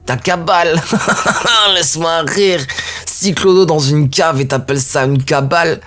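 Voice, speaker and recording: A man voicing a cocky, mocking male dark elf prisoner in an audio drama. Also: on the high side, a little slow, voice pushed up. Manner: taunting, sneering, then begging in panic.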